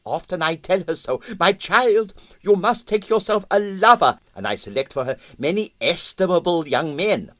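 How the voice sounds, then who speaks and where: A man voicing an excitable, boastful Russian ballet impresario, theatrical in broken English with bits of French. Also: high-pitched, moderate pace, hardly any pauses, no echo, voice pushed up. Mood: confiding, cheerful.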